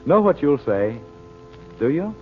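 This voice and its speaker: A man announces and sells in classic old radio style, his voice lively and persuasive. The sound is thin and phone-like, with clear music behind him. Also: fairly high pitch, measured pace. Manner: smooth, persuasive sales delivery.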